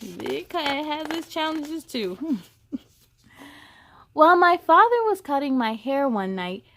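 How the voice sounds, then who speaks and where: A woman's voice: high-pitched, sing-song, measured pace, a bit bright. A woman hosting a children's story time, reading aloud expressively with encouraging, chatty asides to young viewers. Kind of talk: animated children's read-aloud.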